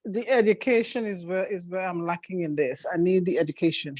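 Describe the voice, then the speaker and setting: A woman's voice: on the low side, brisk, darkish tone, no echo, clean studio sound. A woman with a Trinidadian accent, a polite, agreeable newcomer asking questions on a video call. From Trinidad and Tobago. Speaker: new attendee. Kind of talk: casual conversation on a video call.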